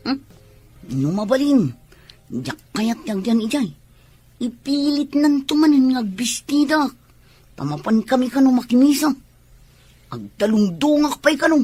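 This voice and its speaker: An actress with a Filipino Ilocano accent playing a stubborn young tomboy in a family radio drama. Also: female voice, high voice, very animated, unhurried, pauses often, dark tone, soft background music, full character voice. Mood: defiant.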